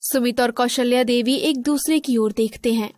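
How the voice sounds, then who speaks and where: A woman's voice: a little high, moderate pace, a little mellow, no room sound, studio quality. A woman reading scripted narration for a children's moral story.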